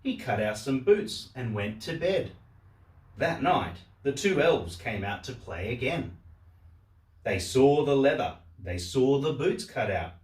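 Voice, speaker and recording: A man reading a storybook aloud for children in a simple, deliberate way. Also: medium pitch, sing-song. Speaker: storyteller, host of a story channel. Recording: roomy echo, distant microphone.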